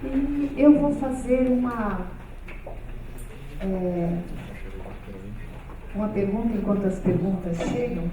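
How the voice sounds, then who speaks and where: A woman's voice: mid-range voice, takes it slowly, pauses often, background noise. Woman moderating in an echoey hall. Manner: hesitant, many fillers, conversational. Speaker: panel moderator. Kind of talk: seminar panel moderation. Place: large hall with stage. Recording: echoey hall, far from mic.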